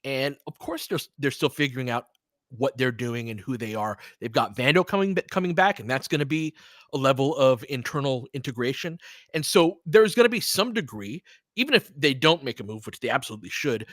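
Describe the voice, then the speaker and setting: A man's voice: medium pitch, expressive, fairly quick, a bit bright, no echo, clean studio sound. A man hosting a casual live sports commentary, talking in an emphatic, conversational way. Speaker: sports show host.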